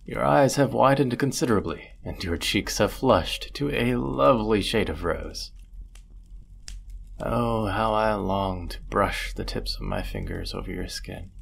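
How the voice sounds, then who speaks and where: Fantasy audio drama: man to play amorous, courteous innkeeper and proud charmer, lowish creaky voice, formal and suave. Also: measured pace, slight background noise. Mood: flirtatious. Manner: formal, ornate, suave, teasing phrasing. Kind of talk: scripted roleplay audio drama, addressing the listener.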